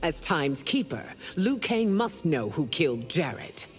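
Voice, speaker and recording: An actress playing a regal, stern empress in a fighting game, husky and resolute in a dignified delivery. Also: female voice, low-pitched, big pitch swings, a little slow. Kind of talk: fighting game character dialogue.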